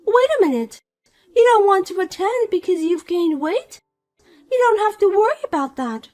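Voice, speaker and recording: A woman's voice: very high voice, lively intonation, moderate pace, a little mellow, soft background music, pitched above the performer's own voice. A woman voicing a vain, spiteful young woman who mocks and pushes others around. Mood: taunting. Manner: mocking, pushy, self-important.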